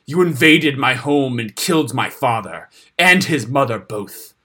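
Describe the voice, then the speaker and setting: A man's voice: mid-range voice, lively intonation, measured pace, sharp tone, slightly rough, heavily acted. Man voicing a harsh, venomous wolf pack leader. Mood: venomous rage. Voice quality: hard, harsh. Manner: venomous, contemptuous. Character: vengeful, mocking, huge male wolf pack leader.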